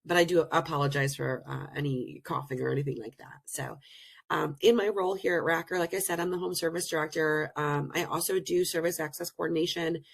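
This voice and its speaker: Hoarse woman giving a webinar talk. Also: sits low, fairly even intonation. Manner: earnest, explanatory, many pauses. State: hoarse, recovering from illness. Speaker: presenter and program director. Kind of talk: webinar presentation. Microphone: video call.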